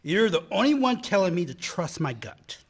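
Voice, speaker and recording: A man's voice: sits high, sing-song, moderate pace, husky, full character voice. A man playing an obsessive young male graduate student who laughs at his own jokes, in an amateur radio play. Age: young adult.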